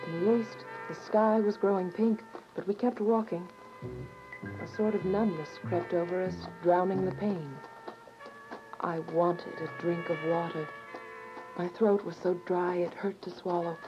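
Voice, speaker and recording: A woman's voice: on the low side, fairly even intonation, a little slow, natural voice. Young woman fugitive played by an actress, radio drama; earnest, literary, fearful. Dull recording over prominent music. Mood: solemn, tense. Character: frightened, devout young woman fugitive telling her story. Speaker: narrator and lead actor. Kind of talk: dramatic first-person radio drama narration. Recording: dull, lo-fi audio with music behind.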